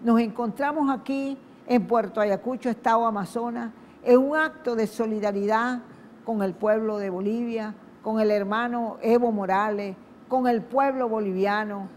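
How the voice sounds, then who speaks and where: A woman's voice: a little high, fairly even intonation, moderate pace, a little mellow, slight background noise. A Venezuelan woman hosting a TV program, introducing her guest in a solemn, emphatic, speech-like manner. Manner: solemn, emphatic, repetitive phrasing. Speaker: TV program host. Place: outdoors at a public event.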